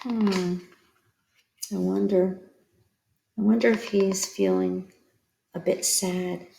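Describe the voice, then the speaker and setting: A woman reading a picture book aloud to children like a teacher, expressive and pausing to put questions to young listeners. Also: mid-range voice, gravelly, roomy sound, noisy background. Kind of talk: children's read-aloud storytelling.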